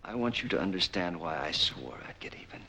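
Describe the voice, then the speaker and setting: A man's voice: low voice, expressive, brisk, sharp tone. An actor playing a cold, commanding American man bent on revenge in a 1940s film noir. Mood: bitter, intense. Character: vengeful, menacing man hunting his father's betrayer. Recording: noisy 1940s film soundtrack.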